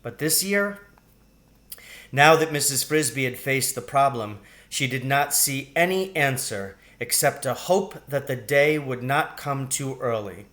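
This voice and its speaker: Slow-paced man reading a children's story. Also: mid-range voice, expressive, fairly bright tone. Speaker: audiobook reader.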